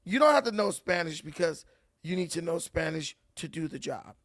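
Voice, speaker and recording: A man's voice: fairly high pitch, expressive, moderate pace, fairly bright tone, dry recording, studio quality. A man hosting casual reaction commentary, ranting and repeating words as he builds his point. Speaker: commentary host.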